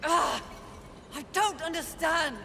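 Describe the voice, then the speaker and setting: A proud, fierce female warrior from a fantasy game. She speaks in a commanding, theatrical way at a measured, slow pace. Long reverb trails her words over noisy ambience. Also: sits high, gravelly, full character voice. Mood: indignant. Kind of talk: dramatic video game acting.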